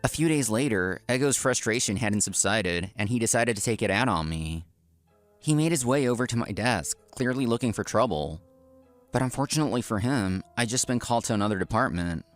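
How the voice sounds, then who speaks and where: A man's voice: lowish voice, expressive, a little fast, faint music behind, natural voice. A man playing an earnest, polite office worker who narrates his own story in a comic dub. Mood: narrating. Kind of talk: scripted first-person narration, comic dub.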